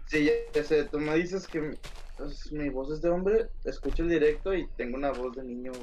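A man's voice: a little high, normal speed. A young man chatting with a friend over a gaming session, laid-back and slangy, laughing at his own mishaps. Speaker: co-player on call. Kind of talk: casual gaming chat.

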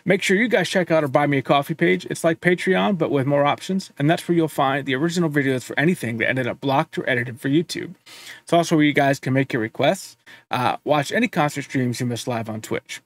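A man in his thirties hosting a music reaction video from a home studio, talking in a relaxed, chatty way with frequent filler words. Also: on the high side, brisk, no room sound. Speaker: reaction channel host. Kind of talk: casual music reaction commentary.

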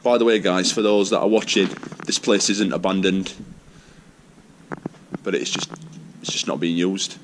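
A man's voice: sits low, fairly even intonation, moderate pace, noisy background. A young man, walking through an empty old building and narrating casually with awe, recorded on a handheld camera. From England. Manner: chatty, awed, points things out. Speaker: urban explorer. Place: large empty indoor building. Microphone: handheld action camera.

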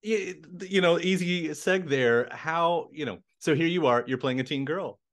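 A man hosts an interview from a home room covered in posters. He talks in a relaxed, conversational way and steers with easy questions. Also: fairly high pitch, normal speed. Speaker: interviewer host. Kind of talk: casual interview.

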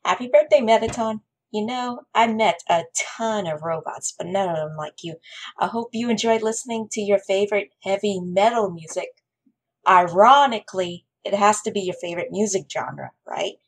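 Young woman, husky, vocal fry, mid pitch, playful greeting. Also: moderate pace. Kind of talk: birthday message with puns.

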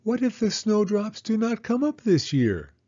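A man as eager little girl mouse, high swooping voice, earnest, childlike, storybook character. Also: very animated, a little slow, mellow, dull audio, heavily acted. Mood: worried. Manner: earnest, childlike questioning and exclaiming.

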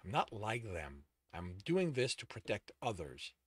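A man's voice: fairly low pitch, big pitch swings, a little slow, husky, exaggerated voice. A man reading as a stoic, emotionally numb young man who insists in a restrained, polite way. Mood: calm, resigned.

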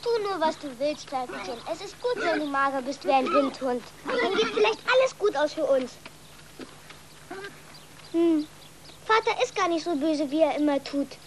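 A performer playing a lively, affectionate young farm boy, coaxing and eager in a dubbed children's series. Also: high-pitched, moderate pace, noisy background. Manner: coaxing, eager, simple short commands.

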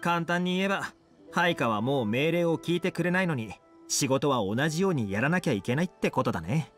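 A dependable young retainer in an anime game. His voice is on the high side for a male, easygoing and polite, over faint music. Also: expressive, a little fast, a bit bright. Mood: considerate. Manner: easygoing, polite, casual phrasing.

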